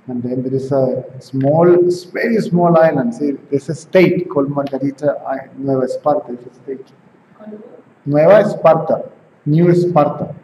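A man's voice: medium pitch, moderate pace, warm tone. A man in his forties from Venezuela, with a Venezuelan Spanish accent, giving an informal spiritual talk at home, often asking "right?" and "see?". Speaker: spiritual teacher. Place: home room with tiled walls, indoors. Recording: roomy, dull camera sound, faint hiss.

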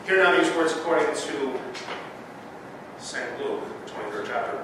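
A man's voice: a little high, lively intonation, normal speed. A man in his thirties, a pastor preaching and reading scripture with earnest emphasis in a church sanctuary. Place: church sanctuary with pipe organ. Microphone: far camera. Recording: echoey church from far off, noisy.

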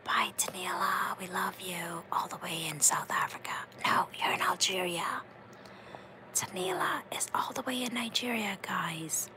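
Woman host, ASMR whisper, slow, warm-toned chat reading. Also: on the low side, animated, rough recording. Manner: soft whisper, affectionate. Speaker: livestream host.

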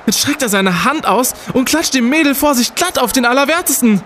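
A man's voice: very high voice, expressive, brisk, a bit bright, a little hiss or hum. A man playing an indignant, pedantic young student detective in a radio play, speaking emphatically. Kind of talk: scripted radio play acting.

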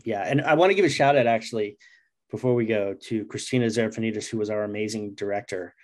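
A man's voice: on the low side, fast talker, darkish tone. A man around his forties chatting casually and enthusiastically as an interview guest on a video call. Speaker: comic writer and interview guest. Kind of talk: casual chat.

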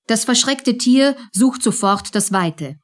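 A woman's voice: fairly high pitch, animated, slow. A woman narrating a German radio play in a scripted, storytelling style.

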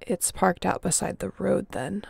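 A woman's voice: mid-range voice, measured pace, gravelly, a little mellow, husky, dry recording, full character voice. A woman playing a resilient young apocalypse survivor in an audio roleplay, speaking intimately and earnestly with a wry edge. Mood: determined, planning. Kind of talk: dramatic audio roleplay addressed to the listener.

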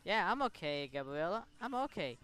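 A man hosting a gaming video, reading game text aloud and commenting in short, halting reactions. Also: high voice, very animated, noisy background.

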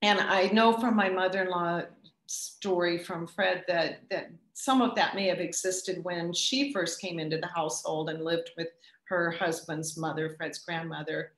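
A middle-aged woman answering audience questions after her talk, thoughtful and pausing as she restarts her sentences. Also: on the low side, steady tone, fairly bright tone, roomy sound, poor audio. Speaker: guest speaker.

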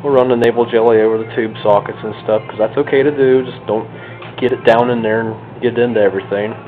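A man's voice: mid-range voice, fairly even intonation, fast talker, music in the background. An American man giving a casual, unscripted hands-on walkthrough in a folksy, self-deprecating manner. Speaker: hobbyist radio restorer presenting own video. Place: home kitchen or dining room, indoors. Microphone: handheld camera's built-in microphone. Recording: tinny band-limited phone-like camera sound.

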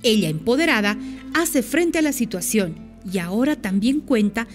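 A woman's voice: on the high side, sing-song, normal speed, over music. A woman reading scripted recap narration for a radio drama.

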